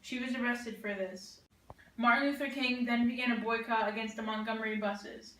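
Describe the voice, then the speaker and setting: A woman's voice: fairly high pitch, fairly even intonation, moderate pace, a bit bright. A woman reading a scripted news report as a student reporter. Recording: echoey room, distant from microphone.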